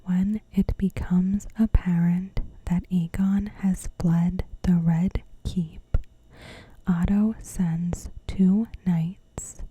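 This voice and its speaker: A woman softly whispering a scripted recap as an ASMR host, in short, deliberate phrases with pauses. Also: fairly low pitch, a little hiss or hum, rough recording. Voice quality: soft, breathy whisper. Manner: slow, deliberate, pausing between short phrases.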